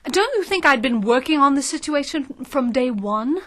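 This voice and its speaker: Woman acting as a high-voiced scheming consultant. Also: expressive, normal speed, a bit bright, no echo. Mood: scornful, confident. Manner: emphatic, condescending. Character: scheming, confident, scornful female marketing consultant. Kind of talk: audio drama acting.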